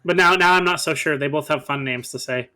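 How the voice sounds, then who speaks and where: A man's voice: fairly high pitch, brisk, sharp tone. A man chatting casually and jokingly while playing and commenting on a video game with friends. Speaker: co-player and commentator on a game series. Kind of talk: casual joking chat over gameplay.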